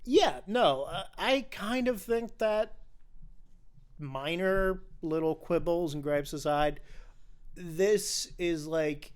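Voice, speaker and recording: Smooth, higher-pitched man, enthusiastic podcaster. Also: takes it slowly. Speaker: podcast host. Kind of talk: casual film discussion.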